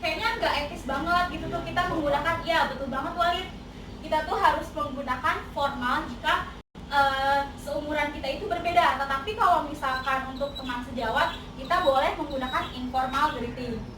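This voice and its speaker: High-voiced young woman teaching. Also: quick pace. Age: young adult. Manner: chatty, mixes in English example phrases. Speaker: English teacher. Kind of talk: classroom explanation. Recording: echoey room, distant mic, noisy.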